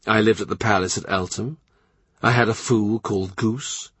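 A man's voice: fairly low pitch, fairly even intonation, normal speed, a bit bright, breathy edge, dry recording. A man voicing a middle-aged king, blunt and boastful, with a scornful, brooding manner, in a storybook reading. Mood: reminiscing.

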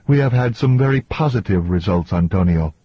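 Man as unimpressed female scientist, precise, correcting, contemptuous, very husky, dull echoey recording. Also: on the low side, expressive, fairly quick. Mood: insistent. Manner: correcting, matter-of-fact. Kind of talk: audiobook character dialogue.

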